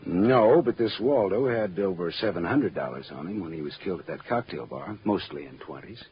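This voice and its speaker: An actor playing a wry, hardboiled American private eye in an old radio drama, cool and sardonic, on a muffled, noisy recording. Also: male voice, low-pitched, animated, fairly quick. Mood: businesslike. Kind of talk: mid-century radio drama acting.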